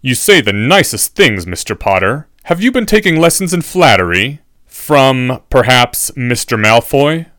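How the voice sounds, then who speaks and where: A man's voice: mid-range voice, sing-song, unhurried, fairly bright tone, no room sound, studio quality. Man acting menacing, biting sardonic professor. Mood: dry, amused. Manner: biting, sarcastic, formal.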